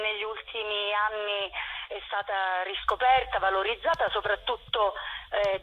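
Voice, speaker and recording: A woman speaks as an interview guest in a medium-pitched voice. She is very unhurried, halting and reflective as she explains things. The sound is dull, like a radio or phone link. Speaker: theatre author and actress, interview guest. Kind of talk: conversational interview.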